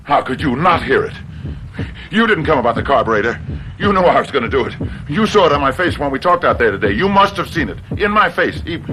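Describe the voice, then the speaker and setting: A man's voice: a little high, big pitch swings, fast, bright, forceful tone, slight background noise, low-quality recording. An actor in a radio drama playing an unhinged, guilt-ridden, obsessive man who stammers and repeats his words. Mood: frantic, raving.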